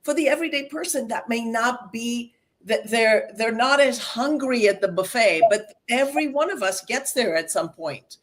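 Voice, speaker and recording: A woman's voice: a little high, lively intonation, moderate pace. A woman hosting an interview as a communication coach, emphatic and enthusiastic.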